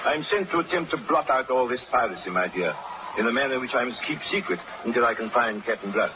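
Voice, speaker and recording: An actor playing an excitable, self-important English lord in emphatic 1930s radio style. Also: male voice, mid-range voice, fast talker, close to an everyday voice. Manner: aristocratic, emphatic, old-fashioned. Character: excitable, haughty English lord on a secret mission. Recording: scratchy, tinny 1930s radio recording.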